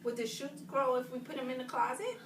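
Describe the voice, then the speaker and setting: A woman teacher reading aloud to children, pausing to question them and affirm their answers. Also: sits high, fairly even intonation. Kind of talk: interactive read-aloud lesson with questions to children. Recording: roomy, distant mic, clear background noise.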